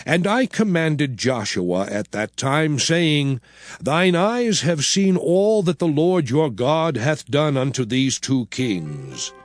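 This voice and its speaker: Commanding biblical lawgiver, higher-pitched male voice, sweeping intonation, solemn oratory, dramatized scripture. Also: sing-song. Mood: solemn, exhorting. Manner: solemn, oratorical, measured, emphatic. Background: music.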